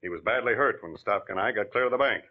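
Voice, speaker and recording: Low-voiced scheming crook played by an actor. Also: male voice, fast, close to an everyday voice. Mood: impatient, dismissive. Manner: brusque, then cajoling. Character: scheming, smooth-talking ex-convict crook, impatient and cocky. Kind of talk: 1940s radio acting. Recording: muffled old-time radio recording.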